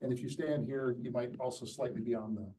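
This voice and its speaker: A man hosting a live pitch session in a crowded room, chatty and rambling with frequent restarts. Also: fairly even intonation, fast, warm tone, breathy edge, rough recording. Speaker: event host.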